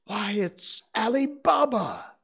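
A man's voice: a little high, expressive, normal speed, voice pushed up. A man voicing an old man reliving his childhood in a storybook reading, exclamatory, stammering and sentimental. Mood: ecstatic, tearful wonder.

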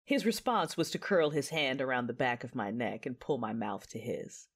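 A woman playing a resolute, battle-hardened female Jedi who tells her story in measured, dramatic first-person narration for an audio drama. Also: extremely low pitch, breathy edge, exaggerated voice. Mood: intimate, reflective. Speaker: narrator and lead character.

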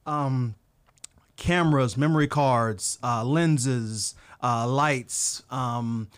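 A man's voice: mid-range voice, extremely slow, clear, resonant tone, no echo. A man speaking as a podcast guest, earnest and reflective, with frequent fillers. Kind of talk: casual conversation.

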